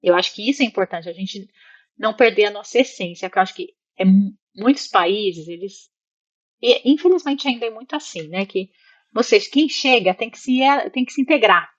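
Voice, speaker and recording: A woman's voice: medium pitch, lively intonation, normal speed, dull audio. A Brazilian woman speaking casually and reflectively as an interview guest, expressively slipping into imagined remarks and checking that listeners follow. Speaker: interview guest, psychologist living in Italy.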